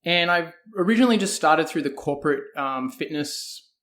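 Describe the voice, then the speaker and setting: A man's voice: a little high, expressive, moderate pace, a bit bright. Australian man thinking aloud in conversation. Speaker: guest, fitness coach. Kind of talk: conversational interview.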